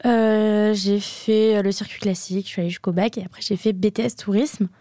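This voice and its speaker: A woman in her twenties, a podcast guest speaking casually and eagerly, often pausing on fillers. Her tone is mellow and warm at a middle pitch. The recording is close and dry. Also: level tone, no echo. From Andorra. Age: 20s. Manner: hesitant, many fillers, enthusiastic. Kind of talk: casual interview chat.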